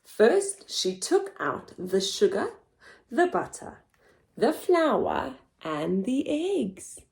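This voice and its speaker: A woman reads a picture book aloud to very young children as a story time host. Her voice sits fairly high and moves up and down a great deal. She goes slowly and expressively, with a theatrical touch. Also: gravelly. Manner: expressive, theatrical, with gasps and whispers. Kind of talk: animated read-aloud for toddlers.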